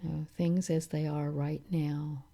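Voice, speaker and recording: A woman leading a guided meditation in a calm, soothing manner, leaving long pauses between phrases. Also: sits low, creaky. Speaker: meditation teacher.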